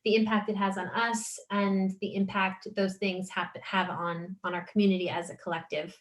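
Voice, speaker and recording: A woman with a Long Island accent hosts a casual podcast with a co-host. Her voice sits at a middle pitch. She talks in animated bursts and laughs often. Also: normal speed. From United States. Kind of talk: casual chat.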